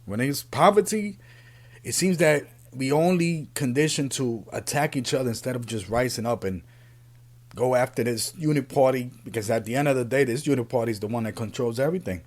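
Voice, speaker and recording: A man, born in Puerto Rico and raised in the Bronx, giving an earnest, unscripted opinion monologue as a podcast host, often halting and repeating himself. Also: mid-range voice. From Puerto Rico, raised in the Bronx, New York City.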